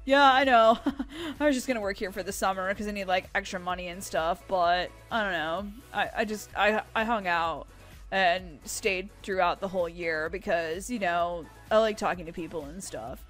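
A woman's voice: medium pitch, moderate pace, a bit bright, soft background music, natural voice. A woman playing a chatty, bubbly young donut shop worker in a gaming roleplay.

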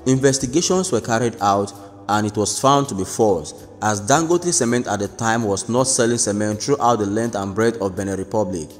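A man narrating a scripted news report in a steady, even read-aloud delivery. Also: lowish voice. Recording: long reverb with faint background music.

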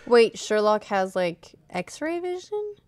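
A woman's voice: on the high side, big pitch swings, slow, a little mellow, no room sound. A woman in her thirties co-hosting a gaming podcast in casual, conversational talk. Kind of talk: casual chat. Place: podcast studio, indoors. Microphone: studio podcast microphone at desk.

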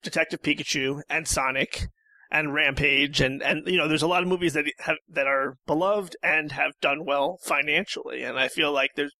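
Bright-voiced man in casual discussion. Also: fairly high pitch, steady tone, normal speed, no echo. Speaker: podcast guest.

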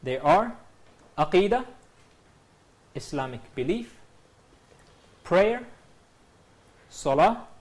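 A man in his thirties hosting a TV quiz show from a studio, upbeat and formal as he greets teams and reads out clues. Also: a little high. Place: TV studio set.

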